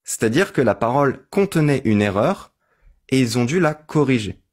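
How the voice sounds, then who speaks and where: A man's voice: medium pitch, animated, normal speed, a little mellow. A man in an online voice-chat debate, laying out his argument step by step and asking for agreement. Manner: methodical, argues step by step, seeks agreement. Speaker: debate host.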